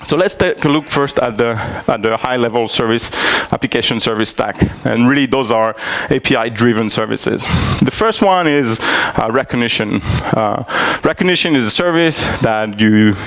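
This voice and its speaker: Gravelly man giving a tech talk. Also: mid-range voice, animated, moderate pace, few pauses, a bit bright. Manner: hesitant, many fillers and restarts. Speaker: tech conference speaker. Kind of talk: live technical presentation. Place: conference hall.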